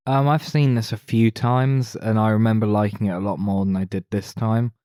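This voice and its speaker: Lowish-voiced man chatting on a podcast. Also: fairly even intonation, normal speed, dark tone, dry recording, clean studio sound. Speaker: podcast co-host. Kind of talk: casual film discussion.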